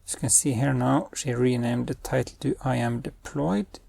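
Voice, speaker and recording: Brief: young male coding host, husky and mellow, dry close sound, thinks aloud. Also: mid-range voice, fairly even intonation, moderate pace, no room sound. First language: Norwegian. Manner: thinks aloud while giving spoken commands. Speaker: web developer and channel host. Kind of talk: screen-recorded coding tutorial.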